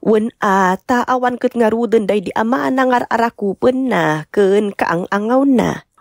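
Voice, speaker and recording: A woman's voice: on the high side, measured pace, gravelly, dry recording, clean studio sound. A woman with an Ilocano accent playing a sorrowful, tender older mother in an audio drama. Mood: sad, worried. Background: music.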